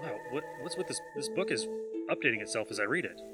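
A man's voice: mid-range voice, lively intonation, fast talker, close to an everyday voice. A man acting a jittery, skeptical man who is spooked and agitated, in an amateur audio drama. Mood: muttering, puzzled. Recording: loud music over the voice.

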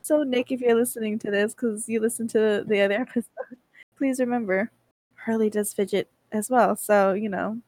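A woman's voice: fairly high pitch, fairly even intonation, normal speed, darkish tone, no room sound. A woman in her twenties co-hosting a podcast, teasing her friends, giggling and asking the group questions. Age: 20s. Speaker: podcast co-host. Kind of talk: casual chat.

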